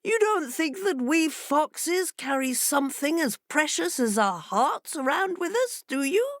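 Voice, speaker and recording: A woman's voice: high-pitched, animated, unhurried, no room sound, clean studio sound, voice pushed up. A woman voicing a proud, cunning male fox, raised above her own voice. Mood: sly. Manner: haughty, then sly.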